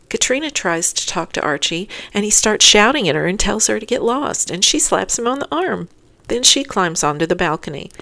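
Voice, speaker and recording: Female recap host, lowish pitch with heavy fry, scripted but chatty. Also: a bit bright. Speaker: host of a TV episode recap podcast.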